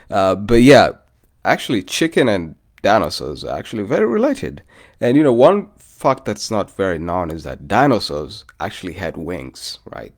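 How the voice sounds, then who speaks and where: A Kenyan man with a low, deep voice that swings widely up and down as he talks. He rambles in a loose, friendly way and keeps calling his listeners "bro". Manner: loose and chatty, often says "bro", greets live commenters. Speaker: vlogger. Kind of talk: casual rambling chat to viewers.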